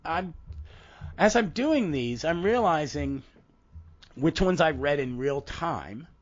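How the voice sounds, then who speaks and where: A man's voice: a little high, lively intonation, slow pace, lo-fi sound. A man in his fifties hosting a casual podcast, his voice froggy and congested from a head cold, rambling and cutting himself off mid-thought. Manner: rambling, self-interrupting. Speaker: podcast host.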